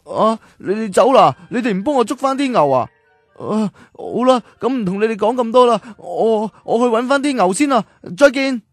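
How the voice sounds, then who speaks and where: Gentle, lively young cowherd boy. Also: very high-pitched, moderate pace, soft background music. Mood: flustered. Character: gentle poor cowherd boy of olden times who loves learning. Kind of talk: radio drama acting.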